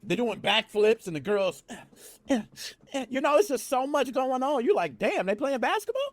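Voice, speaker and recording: A man hosting a casual podcast chat, slangy and animated, often asking "you know what I mean". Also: very high-pitched, measured pace, no echo. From United States. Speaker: podcast host.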